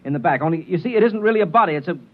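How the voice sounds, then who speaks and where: Frantic, fast-talking protagonist. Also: male voice, fairly high pitch, lively intonation. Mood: urgent pleading. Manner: agitated, stammering, pleading. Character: frantic earnest man desperate to be believed. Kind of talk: 1950s radio drama acting. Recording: dull old radio recording.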